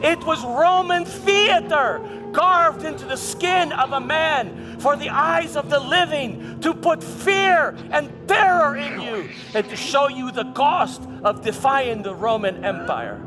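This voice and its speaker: Slow, high-pitched, proud Roman governor acted by a man. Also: expressive, full character voice. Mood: grim, intense. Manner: impassioned, halting, dramatic, with stammered repeats. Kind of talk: theatrical church monologue. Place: large church hall. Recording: reverberant hall with music.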